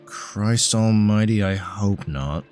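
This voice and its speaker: A man's voice: lowish voice, a little mellow, pitched above the performer's own voice. Guy doing a nerdy occult professor type, precise and explainy, bit exasperated, audio drama story, faint music behind. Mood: worried, weary. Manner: measured, pedantic, explanatory. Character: bookish, earnest male occult scholar, bespectacled, exasperated.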